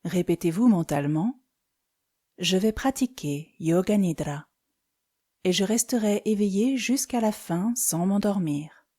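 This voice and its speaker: Woman doing guided relaxation, soft dark mellow tone, calm n soothing, leaves pauses between phrases. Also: medium pitch. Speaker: yoga nidra instructor. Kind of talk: guided meditation.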